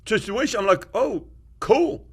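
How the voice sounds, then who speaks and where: A man's voice: high-pitched, animated, measured pace, creaky, slightly rough. A man from Iran who later lived in Germany and America, hosting an opinionated podcast discussion with rhetorical questions and anecdotes. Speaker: podcast host.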